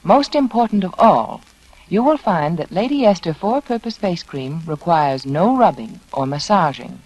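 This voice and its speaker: A woman delivering a measured, persuasive scripted advertisement on 1940s radio. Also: on the low side, big pitch swings, a little mellow, rough recording. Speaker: commercial spokeswoman. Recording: vintage 1940s radio broadcast.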